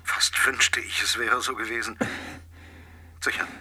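An actor in a German radio play playing a brooding, coldly obsessive middle-aged husband who speaks with deliberate, quiet menace. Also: male voice, medium pitch, fairly even intonation, breathy edge, heavily acted. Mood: bitter, pained. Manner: deliberate, insistent, drops into whispers.

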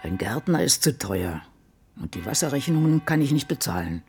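Creaky, ailing old woman novelist. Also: very deep voice, big pitch swings, moderate pace, a little mellow, faint music behind, exaggerated voice. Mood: matter-of-fact. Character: ailing elderly female American novelist, brooding and blunt. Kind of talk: radio drama acting, intimate monologue.

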